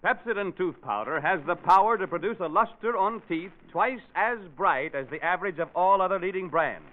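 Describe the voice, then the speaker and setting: A man with a slightly high voice reads a 1940s radio commercial. He sells with emphatic, scripted delivery over a narrow, tinny broadcast sound. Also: animated, fairly quick. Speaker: commercial announcer.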